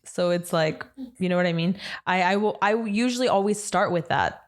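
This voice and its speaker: A woman's voice: fairly low pitch, normal speed. A woman from Chicago, co-hosting a casual podcast chat, talking emphatically and standing by her point. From Chicago, United States. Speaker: co-host.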